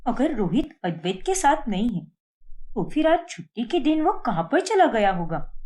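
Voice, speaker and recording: Woman playing a suspicious, aggrieved young wife, lively pitch. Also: moderate pace. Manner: complaining, sarcastic. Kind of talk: Hindi cartoon moral-story voice acting.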